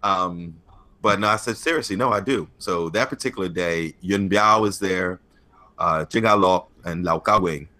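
A man guest telling stories in a casual interview, animated and laughing, with many filler words. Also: deep voice, moderate pace. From United States. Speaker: stuntman guest.

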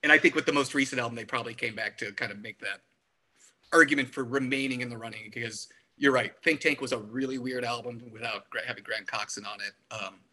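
Very bright, sharp-voiced man. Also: medium pitch, fairly even intonation, fast. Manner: emphatic, repeats words, acts out imagined quotes. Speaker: music podcast co-host. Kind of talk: casual album discussion.

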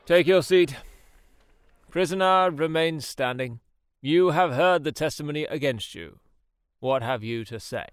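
A man's voice: fairly high pitch, a little slow, clear, resonant tone, dry recording, lightly acted. An actor playing a stern male court president with formal, commanding speech. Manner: formal, commanding, official cadence. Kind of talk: radio drama acting.

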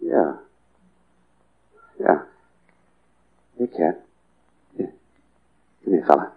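Male, bitter loner gunman, very low voice, terse tough delivery, muffled old radio drama sound. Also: sing-song, drawn-out words, gravelly, slightly rough, full character voice. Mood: softened. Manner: terse, tough street talk. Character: hunted hired gunman, cold, bitter hard-boiled loner. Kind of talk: 1940s radio drama acting.

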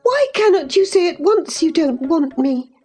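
A woman's voice: extremely high pitch, lively intonation, moderate pace, a little mellow, soft background music, pitched above the performer's own voice. A woman voicing a scornful, passionate young lady in a storybook, scolding with emphatic, imperious phrasing. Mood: indignant, impatient.

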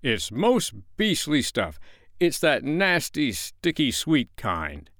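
A man's voice: on the high side, huge pitch swings, slow, a bit bright, no echo, studio quality, voice pushed up, exaggerated voice. A man reading a storybook as a blustering, cowardly, self-important middle-aged father who talks in pompous, indignant retorts. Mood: shuddering, whining.

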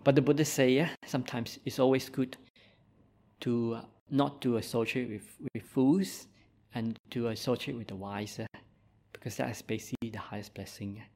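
A man with a Malaysian accent, a Buddhist monk giving an informal dharma talk in a gentle, halting way, with many "uh"s and "lah"s. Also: mid-range voice, fairly even intonation.

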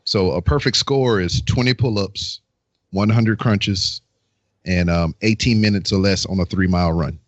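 Low-voiced man, dry and sweary. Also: normal speed, no echo, muffled recording. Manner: crude, heavy swearing, anecdotal. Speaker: military veteran. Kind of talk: casual banter.

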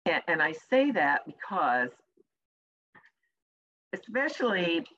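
A woman with a soft voice, an executive communication coach answering interview questions in a measured way with deliberate pauses. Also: lowish voice, very animated, lo-fi sound.

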